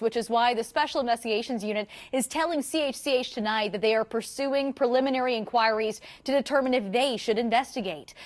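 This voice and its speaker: A woman reporter delivering a scripted live news report from a TV studio. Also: on the high side.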